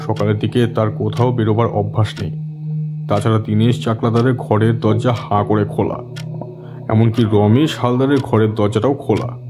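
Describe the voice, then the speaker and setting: A man's voice: on the low side, level tone, moderate pace, over music, close to an everyday voice. An actor playing a matter-of-fact male police officer in an audio drama, methodical and explanatory. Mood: reporting.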